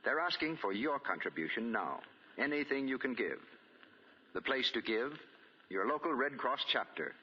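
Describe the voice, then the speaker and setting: A man's voice: mid-range voice, animated, normal speed, husky. An American man working as a 1940s radio announcer, reading copy with polished, emphatic phrasing on a dull old recording.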